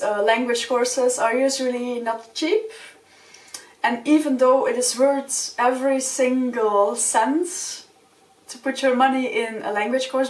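Young woman vlogger, Dutch native, roomy. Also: sits high. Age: young adult. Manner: friendly, earnest, gestures with open hands. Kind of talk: casual advice talk to camera. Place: small wood-panelled room indoors. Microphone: camera a few feet away. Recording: roomy sound from a distant mic.

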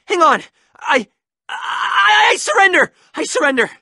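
A cocky, sneering young gang leader taunts his rivals with mocking laughs in a game. His voice swings widely in pitch and has a bright, sharp edge. The studio recording is dry and close. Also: big pitch swings, a little slow, gravelly, dry recording, exaggerated voice. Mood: panicked pleading. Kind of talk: video game voice acting.

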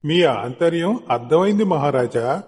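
A man playing a loyal, calm and humble royal minister, respectful and reassuring, in an animated moral story. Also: on the high side, a little slow, soft background music. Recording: long hall-like reverb.

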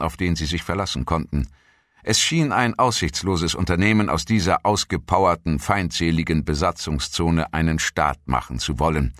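A man reading scripted documentary narration in a measured, formal way. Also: deep voice, a bit bright, no room sound, clean studio sound. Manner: measured, formal read delivery.

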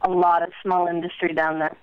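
A woman's voice: fairly low pitch, flat delivery, fairly quick, smooth, no room sound. A woman reporter asking questions on a press conference call. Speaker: media reporter. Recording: thin phone line.

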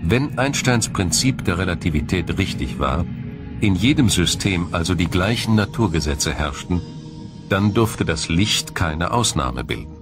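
A man reading a scripted science documentary in a measured, formal, explanatory manner. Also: low voice, animated, music in the background. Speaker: documentary narrator.

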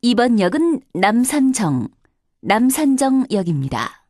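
A woman's voice: fairly high pitch, very animated, a little slow, a little mellow, no room sound, clean studio sound. A woman reading formal scripted subway announcements in a polite, carefully enunciated way.

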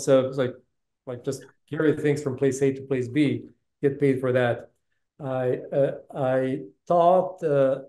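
Middle-aged man, Balkan accent, mellow voice, roomy video-call sound, halting casual storytelling. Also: medium pitch. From Bosnia. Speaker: interview guest, tech business executive. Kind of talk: casual interview talk, storytelling. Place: indoor room, video call.

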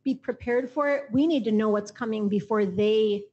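A woman with a slightly high, husky voice answers a panel question on an auditorium stage. She talks in a measured, thoughtful way and strings her points into long lists. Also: dark tone, muffled recording. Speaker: panelist, association executive.

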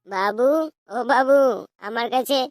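A performer voicing a male cartoon beggar who pleads in a whiny, drawn-out way and will not let go. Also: medium pitch, natural voice. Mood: pleading. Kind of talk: cartoon comedy dubbing. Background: music.

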